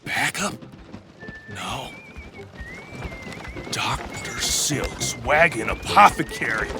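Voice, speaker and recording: Western audio drama villain: swaggering outlaw, gravelly, very animated delivery over loud music. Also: male voice, medium pitch, extremely slow, full character voice. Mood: taunting. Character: swaggering menacing Old West outlaw gunslinger.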